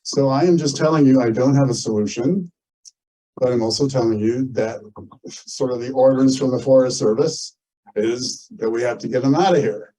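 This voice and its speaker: Male meeting chair, informal and plain-spoken, dark muted tone with some rasp, speaking to a group on a mic. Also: medium pitch, moderate pace, rough recording. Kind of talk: informal announcements to a community meeting.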